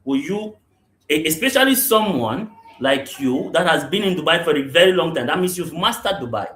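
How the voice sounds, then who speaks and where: A man's voice: on the high side, expressive, normal speed, a bit bright. A man from Cameroon, a real estate general manager, answering interview questions, often checking "you understand?" and restarting his sentences. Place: remote call into a live podcast. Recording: roomy echo.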